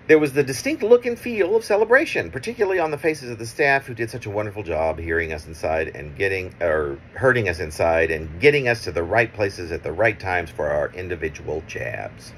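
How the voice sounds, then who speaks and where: A middle-aged man reading his own poem aloud in halting, measured pieces, with casual asides. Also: on the low side, very animated, slight background noise. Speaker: poet reading own work. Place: small room at home, indoors. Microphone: phone at arm's length.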